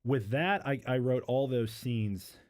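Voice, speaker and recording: A man's voice: medium pitch, unhurried, a little mellow. A man speaking as a novelist guest in a casual interview, explaining things earnestly. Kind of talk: casual book interview chat.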